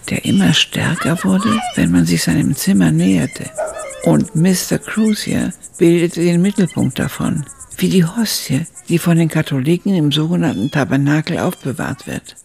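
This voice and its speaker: An actress playing an elderly woman who reflectively recalls her youth, narrating a literary radio drama in a gravelly, raspy voice. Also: sits low, expressive, measured pace, darkish tone, a little hiss or hum, exaggerated voice. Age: older.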